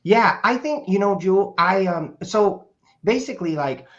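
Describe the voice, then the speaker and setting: Guest, male, 50s, raspy and a bit hoarse, rambling and casual, dull lo-fi sound. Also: high voice, animated, normal speed. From Portland, Oregon, USA. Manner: rambling with frequent fillers and restarts. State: hoarse from speaking over the weekend. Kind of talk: casual conversational storytelling. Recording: dull lo-fi audio.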